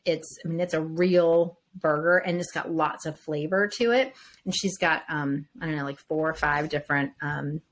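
Chatty woman podcast host, lowish voice. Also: normal speed. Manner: chatty, rambling with restarts and asides. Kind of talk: casual chat.

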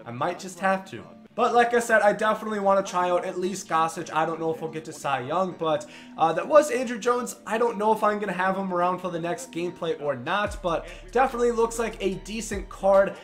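A man's voice: sits high, fairly quick, faint music behind. Young man calling his own ballgame, stuffy. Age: young adult. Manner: excitable play-by-play, calls hits like a broadcaster. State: congested from a cold. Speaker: gaming YouTuber. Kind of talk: casual gameplay commentary. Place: small room at home, indoors.

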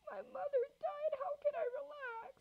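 A woman's voice: extremely high pitch, measured pace, vocal fry, heavily acted. A woman acting out a distraught, grieving young daughter in an amateur roleplay drama, pleading and stammering. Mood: tearful, desperate. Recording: muffled lo-fi audio.

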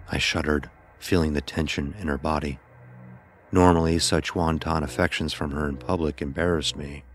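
A man playing a blind son who narrates his dark ordeal in a solemn, literary way, as horror drama. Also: rumbling low. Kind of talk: scripted first-person horror narration. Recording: voice over background music.

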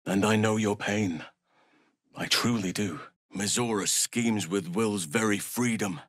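An actor playing a solemn, gentle male druid of nature in a video game, speaking in a measured, earnest, formal way. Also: lowish voice, no echo, clean studio sound. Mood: grave, earnest. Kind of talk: dramatic video game dialogue.

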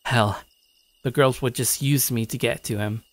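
A man's voice: medium pitch, steady tone, moderate pace, dry recording, natural voice. A man playing a shy, awkward young loner gamer, narrating his own story in a self-deprecating way. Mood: reflective. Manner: self-deprecating, stammering when talking to others. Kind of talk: first-person story narration with acted dialogue.

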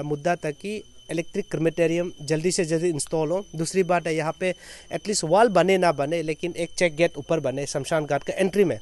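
A man in his thirties speaks in a slightly high voice with a Northeast Indian, Arunachal accent. He sounds earnest, making an off-the-cuff statement to reporters' microphones outdoors, stacking repeated phrases to press his point. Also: darkish tone. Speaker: foundation chairman, activist. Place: outdoors in an open clearing with trees. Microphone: handheld reporters' microphones held at chest height.

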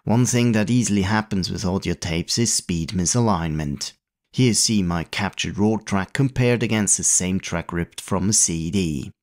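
Calm, low-voiced man explaining tech. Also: normal speed. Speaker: retro computing video host. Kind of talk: scripted tutorial voice-over.

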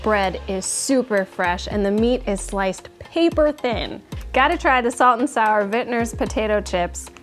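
A woman's voice: fairly high pitch, unhurried, soft background music. A young woman co-hosting a food vlog, cheerfully talking through what she is eating on a lapel mic. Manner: cheerful, listing foods with enthusiasm.

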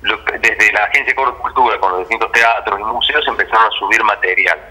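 A man's voice: on the low side, fast, sharp tone, slightly rough. An Argentine man giving spontaneous, explanatory answers as an interview guest over a telephone line. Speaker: interview guest, theatre director.

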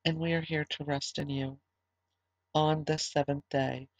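A slightly hoarse woman leading a small online religious service, giving announcements in a conversational way with fillers and restarts, then praying. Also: deep voice, little pitch movement, measured pace, a little mellow, dry recording. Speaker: ministry leader.

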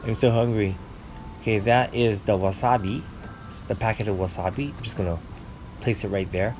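A man's voice: fairly low pitch, moderate pace, noisy background. A man in his forties narrating a casual vlog, explaining food and his neighborhood to viewers in a chatty way. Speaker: vlogger.

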